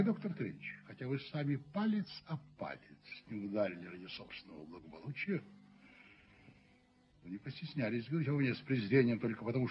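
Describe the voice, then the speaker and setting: An actor in an old radio play playing a dignified, self-righteous middle-aged landlord who speaks in measured, rhetorical phrases. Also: male voice, medium pitch, close to an everyday voice. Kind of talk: 1970s Soviet radio play acting. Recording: muffled old radio recording with noise.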